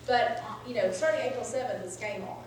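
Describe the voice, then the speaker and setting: A woman's voice: fairly high pitch, fast talker. A woman presenter giving timing advice at a conference in a casual, upbeat way. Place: large echoey conference room. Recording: echoey room, distant mic, background noise.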